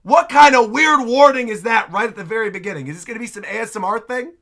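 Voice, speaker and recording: A man's voice: extremely high pitch, fast talker, vocal fry, a bit bright. An American man hosting a reaction video with casual, exclamatory, jokey commentary. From United States, Midwest. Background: trailer audio and music.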